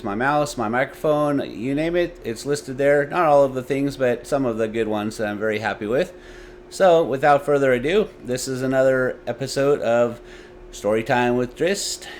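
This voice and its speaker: A male storyteller with a medium-pitched voice, narrating dramatically as host of a story series. He is on a desktop microphone, with some noise behind him. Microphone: desktop USB microphone.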